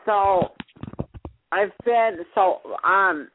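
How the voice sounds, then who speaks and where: A man's voice: sits high, drawn-out words, slight background noise. A young man co-hosting a casual chat show, rambling anxiously with lots of restarts and fillers. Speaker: podcast co-host. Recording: tinny phone-like sound.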